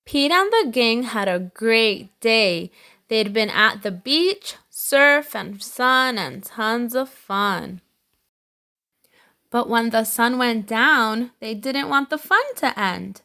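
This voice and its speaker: A woman hosting a read-aloud, narrating a children's storybook slowly and expressively with emphatic phrasing. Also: a little high, expressive, sharp tone.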